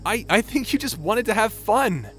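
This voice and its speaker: Youthful, easygoing space pirate played by a man, higher-pitched, teasing but supportive, audio drama with light music underneath. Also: lively intonation, moderate pace, fairly bright tone. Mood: reassuring. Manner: casual, teasing, reassuring.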